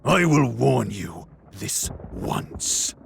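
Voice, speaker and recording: A menacing game villain, a cyclops, with a heavily creaking, very slow delivery. He is theatrical and poetic, cruelly amused, and swings widely in pitch over a music bed. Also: animated, background noise, exaggerated voice. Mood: cold threat. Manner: slow, ominous, poetic recitation. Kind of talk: video game villain acting.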